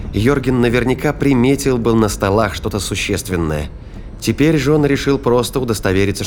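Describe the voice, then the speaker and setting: A man narrating a scripted audio drama in a measured, literary storytelling manner. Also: lowish voice, slight background noise.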